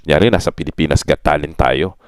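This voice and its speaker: A man's voice: a bass voice, fast, no echo, clean studio sound. A man chatting casually as a ventriloquist host, talking in a coaching, conversational way in a comic puppet act.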